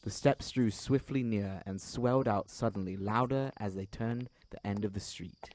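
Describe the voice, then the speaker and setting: A man's voice: on the low side, moderate pace, darkish tone. A young man reading scripted literary narration for an audio drama in a formal, dramatic storytelling manner.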